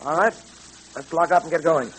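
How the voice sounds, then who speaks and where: An actor plays a decisive male detective hero from vintage radio drama. His speech is quick, clipped and businesslike, pitched a little high. Light hiss from the old recording runs underneath. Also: fairly bright tone, natural voice, poor audio. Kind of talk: 1930s radio drama acting.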